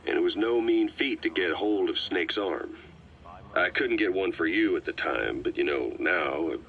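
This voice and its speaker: An actor playing a composed, knowledgeable military intelligence advisor in a video game, talking in a measured, matter-of-fact way. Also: male voice, sits low, narrow, tinny audio. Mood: tactful, hesitant. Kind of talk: acted taped conversation in a video game. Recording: band-limited cassette tape, faint noise.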